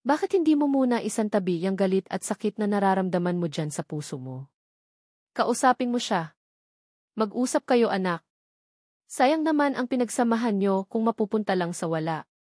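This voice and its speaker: A woman's voice: mid-range voice, expressive, moderate pace, a little mellow, no room sound, clean studio sound, lightly acted. A woman voicing a caring, earnest mother who counsels her grown daughter in a novel reading. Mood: consoling.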